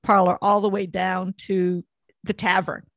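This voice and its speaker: A middle-aged woman hosting a relaxed, conversational interview. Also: mid-range voice, measured pace, gravelly. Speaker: interview host, former small-town mayor.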